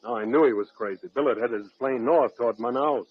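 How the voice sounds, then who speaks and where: A man's voice: mid-range voice, fast talker, natural voice. An actor playing a blunt, stubborn American man who narrates and acts in an old-time radio jungle adventure. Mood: reflective narration. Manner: blunt, irritable. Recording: dull lo-fi vintage radio recording.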